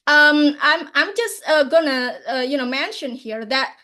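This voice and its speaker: A woman with an Indonesian accent speaks in a high, bright register. She delivers a fervent academic conference talk with conviction. She keeps checking in with the audience with "right?" as she goes. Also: a little slow. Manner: passionate, often ends points with "right?".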